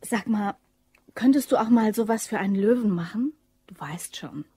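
A woman's voice: medium pitch, moderate pace, mellow, slightly rough, dry recording, close to an everyday voice. A woman playing a teasing, flirty, wry office worker in an audio crime drama. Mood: wry, chatty. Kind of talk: German radio play dialogue.

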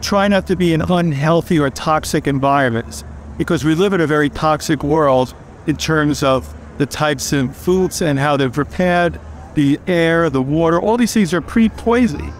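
A man's voice: on the high side, normal speed, slight background noise. A man giving firm, cautionary advice to camera at an indoor event. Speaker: interviewee.

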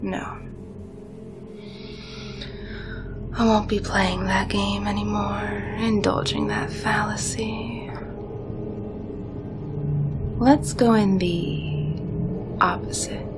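A woman playing a cold, accusing evil spirit in a breathy, deliberate, condemning dramatic monologue. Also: medium pitch, steady tone. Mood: cold scorn. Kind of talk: whispered ASMR-like dramatic monologue. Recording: loud music bed under the voice. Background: loud music, overlapping looped voices.

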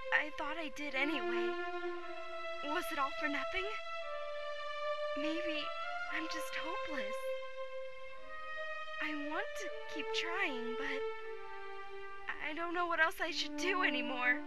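A woman playing an earnest, excitable teenage girl in an amateur anime-style fandub. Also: sits high, slow pace, long pauses. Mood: sad, downcast. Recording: amateur fandub with loud background music.

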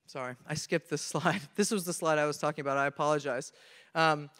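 A man's voice: fairly high pitch, fairly even intonation, a little fast, fairly bright tone. A young man giving a technical conference presentation on a handheld mic, explaining things step by step in an earnest way and often restarting his sentences. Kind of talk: technical talk on stage. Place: large conference hall with audience. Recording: reverberant hall from a camera.

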